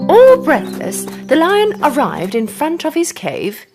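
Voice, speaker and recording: A woman storyteller reading a scripted children's fable in an expressive, dramatic way. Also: high-pitched, a little slow. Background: music.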